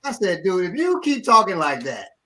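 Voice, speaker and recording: A man's voice: high voice, moderate pace. A middle-aged man, a martial arts instructor, telling a story in casual talk and acting out what others said. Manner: animated, acts out quotes of others.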